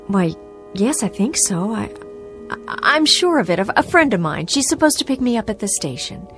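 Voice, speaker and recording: A woman's voice: medium pitch, very animated, moderate pace, fairly bright tone, faint music behind. An actress playing an anxious, polite woman traveler in an audio drama, hesitant and stammering.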